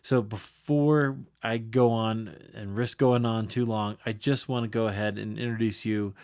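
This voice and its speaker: A man's voice: on the low side, steady tone, a little slow, darkish tone, smooth. Upbeat male podcast host. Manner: upbeat, chatty, enthusiastic. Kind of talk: scripted podcast intro.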